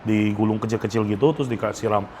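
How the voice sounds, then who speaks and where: A man's voice: lowish voice, steady tone, quick pace, darkish tone. A man gives a casual, enthusiastic food review to camera, often stretching words for emphasis. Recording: noticeable background ambience noise.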